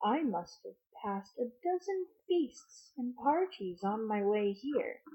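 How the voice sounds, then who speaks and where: A woman's voice: fairly high pitch, very animated, slow, mellow, breathy edge, exaggerated voice. A woman voicing a stern older female professor from a storybook, clipped, irritated and disapproving. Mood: impatient. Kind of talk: amateur storybook character reading. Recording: muffled lo-fi audio.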